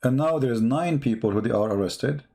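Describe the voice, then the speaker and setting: A man's voice: mid-range voice, expressive, moderate pace, darkish tone. Emphatic man, non-native English speaker. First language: not English. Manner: emphatic, repetitive, rhetorical questions. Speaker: commentator. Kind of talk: impassioned off-the-cuff news commentary.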